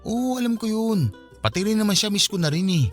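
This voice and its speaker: An actor playing a frustrated, complaining young boyfriend in a Filipino radio drama. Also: male voice, high voice, expressive, brisk, faint music behind. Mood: exasperated. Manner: complaining, emphatic. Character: frustrated young man venting about his girlfriend.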